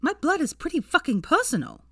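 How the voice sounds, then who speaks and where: A woman's voice: sits high, wildly swooping pitch, brisk, fairly bright tone, dry recording, clean studio sound, voice pushed up, full character voice. A woman voicing an indignant, combative young witch who snaps and sneers, in an audiobook style story reading. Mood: angry, snapping. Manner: snapping, sneering, terse.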